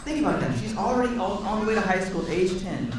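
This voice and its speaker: A man reading a picture book aloud to children in an expressive, encouraging way, pausing to chat and ask them questions. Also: sits high. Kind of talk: animated read-aloud with asides to a young audience. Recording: echoey room, distant mic, audience sounds.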